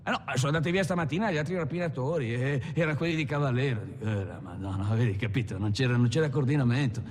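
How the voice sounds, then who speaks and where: An actor playing a cocky Italian robber telling a heist anecdote in animated, colloquial exchanges. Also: male voice, medium pitch, fast talker, natural voice. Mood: amused, cocky. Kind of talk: colloquial storytelling with quoted exchanges. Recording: echoey hall with music behind.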